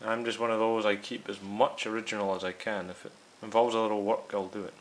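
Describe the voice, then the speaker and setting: Male repair-video host, lowish voice, casual step-by-step explainer, faint noise and hiss behind him. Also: little pitch movement, fast, low-quality recording. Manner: explanatory, addressing viewers directly. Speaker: host of a radio restoration series. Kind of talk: casual hands-on repair demonstration.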